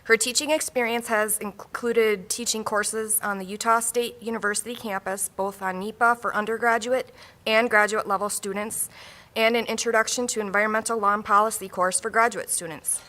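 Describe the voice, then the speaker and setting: A woman acting as conference emcee, reading a speaker's biography in a steady, formal way in a large room. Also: mid-range voice, normal speed.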